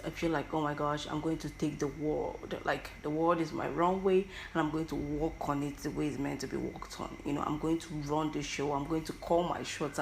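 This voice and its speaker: A young woman with an Igbo accent talks alone into the mic in a personal monologue. Her voice sits very low for a woman, and she often stumbles and repeats words as she thinks aloud. A faint hiss of background noise runs under the recording. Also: rough recording. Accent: Nigerian Igbo. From Igbo land, Nigeria. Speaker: podcast host.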